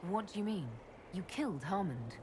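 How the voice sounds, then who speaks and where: An actress playing a composed, inquisitive heroine and leader in a video game, measured and direct. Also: female voice, mid-range voice, lively intonation, darkish tone, close to an everyday voice. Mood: skeptical. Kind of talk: video game dialogue acting. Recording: faint background noise.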